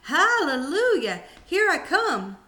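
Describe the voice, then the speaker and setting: A woman voicing a wildly excited ancient grandmother with a screechy voice. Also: very high voice, slow pace, pitched above the performer's own voice, full character voice. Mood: gleeful.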